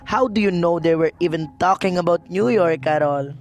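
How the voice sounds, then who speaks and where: A man playing a dismissive, placating police officer taking a call in a radio drama, patronizing and unhurried. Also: a little high, soft background music, natural voice. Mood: skeptical.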